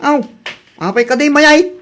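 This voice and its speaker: A woman's voice: high-pitched, animated, a little slow, fairly bright tone. A woman voicing an anxious, loyal husband in an Ilocano radio comedy drama, earnest and protesting. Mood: defensive.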